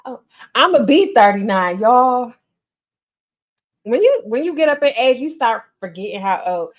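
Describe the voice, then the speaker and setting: A woman's voice: on the high side, a little slow, muffled recording. A woman, chatting casually and animatedly as a podcast host on a livestream. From North Carolina, US. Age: 30s. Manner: chatty, animated, addresses listeners as y'all.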